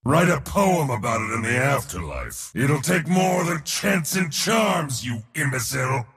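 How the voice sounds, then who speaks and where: An actor playing a merciless, boastful god of war in a video game, declaiming imperious taunts in a theatrical way. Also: male voice, mid-range voice, very animated, measured pace, creaky, a bit bright, full character voice. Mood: scornful taunting. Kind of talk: video game character voice lines.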